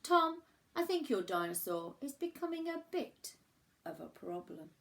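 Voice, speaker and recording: A kindly old grandfather from a picture book, voiced by a woman reader. The voice is lifted and creaky, with a gentle, thoughtful way of putting things. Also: on the high side, very animated, measured pace, pitched above the performer's own voice, full character voice. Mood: gentle concern.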